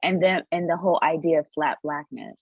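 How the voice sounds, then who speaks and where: Husky young woman, earnest podcast talk. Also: low-pitched, brisk, no room sound, phone-quality sound. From United States. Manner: earnest, repeats words while finding her point. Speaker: podcast co-host. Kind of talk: casual discussion.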